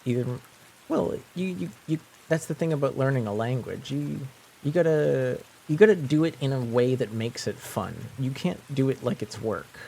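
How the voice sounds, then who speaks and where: A man's voice: mid-range voice, lively intonation, moderate pace, creaky, warm tone, slight background noise. A young man whose first language is French, chatting casually on a live stream, rambling with lots of fillers and restarts. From French-speaking.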